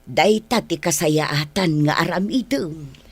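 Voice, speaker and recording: A gentle mother in a radio drama. Her low, husky voice moves slowly and soothingly, recorded dry and close. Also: dry recording, exaggerated voice. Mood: consoling. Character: gentle, pitying mother consoling her child.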